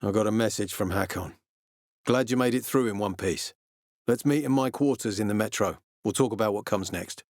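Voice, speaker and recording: Gruff, weary army officer for a video game, male actor: low, dry close sound, measured and authoritative. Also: darkish tone, no room sound, close to an everyday voice. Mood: commanding, measured. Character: gruff, weary military officer, commanding, later a regretful father.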